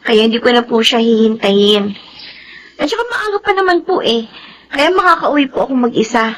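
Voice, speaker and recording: High-voiced, polite young Filipina. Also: female voice, big pitch swings, moderate pace, slight background noise, rough recording. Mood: polite, matter-of-fact. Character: polite, dutiful young woman, helpful and modest. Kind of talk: Filipino radio drama acting.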